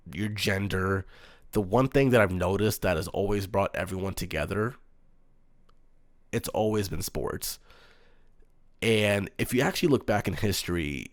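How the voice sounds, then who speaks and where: A man hosting his own sports talk show, speaking in a calm, earnest monotone with frequent pauses and "um"s. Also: deep voice, no room sound, clean studio sound. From United States. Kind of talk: solo sports commentary.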